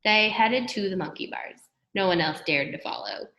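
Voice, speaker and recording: A woman reading a children's story aloud in an animated, expressive storyteller's way. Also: medium pitch, normal speed, lo-fi sound. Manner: animated, acts out dialogue. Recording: echoey room.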